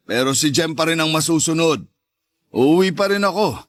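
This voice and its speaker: Guy playing cocky macho ex-husband in a radio drama, mocking everyone, sharp bright voice. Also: on the high side, lively intonation, moderate pace. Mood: mocking, needling. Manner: taunting, brash. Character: mocking macho ex-husband, domineering, needling father. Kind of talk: Tagalog radio drama acting.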